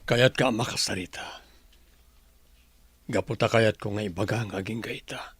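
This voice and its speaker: A husky, pleading estranged husband. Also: male voice, lowish voice, moderate pace, fairly bright tone, no room sound. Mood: pleading, remorseful. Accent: Ilocano. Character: remorseful, pleading estranged husband, adult man. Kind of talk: Filipino radio drama acting.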